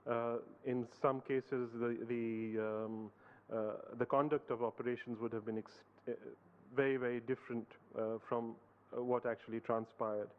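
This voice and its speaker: A man's voice: mid-range voice, flat delivery, normal speed, clear, resonant tone. A man chairing an academic conference, speaking with many fillers and restarts before handing over to the next speaker. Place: university lecture hall. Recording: phone-like narrow sound.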